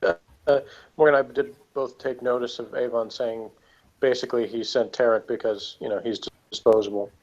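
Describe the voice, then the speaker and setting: A man's voice: medium pitch, level tone, a little fast, darkish tone. Male review co-host, casual and hesitant, grumbling critic, muffled lo-fi recording. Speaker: podcast co-host reviewing television.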